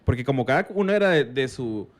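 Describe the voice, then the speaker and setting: A male podcast host with a mid-range voice. He leads the conversation with curious questions, often backing them with a quick "ujú" or "claro". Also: medium pitch, animated. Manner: curious, prompting with short acknowledgments. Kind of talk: casual interview.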